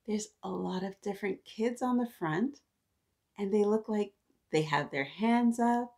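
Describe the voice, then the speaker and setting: A woman in her thirties reading aloud to young children, animated and encouraging, often addressing them directly with questions. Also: medium pitch, measured pace. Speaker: children's story-time host. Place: small decorated indoor room.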